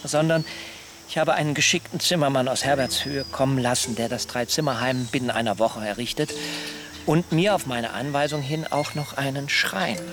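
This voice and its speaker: An actor in a German radio play playing a reserved, polite colonial settler, speaking in a measured, formal and reticent way. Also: male voice, mid-range voice, noisy background, natural voice. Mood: modest, explaining.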